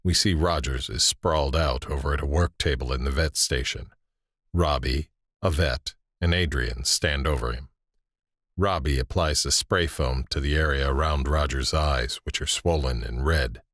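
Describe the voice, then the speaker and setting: A man narrating scene directions in a scripted audio drama, grave and measured. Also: very deep voice, fairly bright tone, no room sound, clean studio sound.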